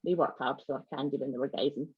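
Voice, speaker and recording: Scottish woman, slightly low husky voice, wry storytelling, dry close sound, slightly dull video-call audio. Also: steady tone, fast talker, no echo. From Scotland. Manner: wry, joking asides. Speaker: club member giving a presentation. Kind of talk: informal presentation of folk traditions and legends.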